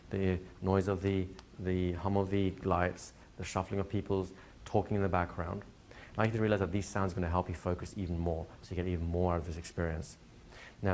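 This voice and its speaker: A man teaching a hypnotherapy class to a live group, explaining plainly and slipping into slow, soothing hypnotic patter for demonstrations. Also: deep voice, darkish tone, a little hiss or hum. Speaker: hypnosis trainer. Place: training room with a live audience.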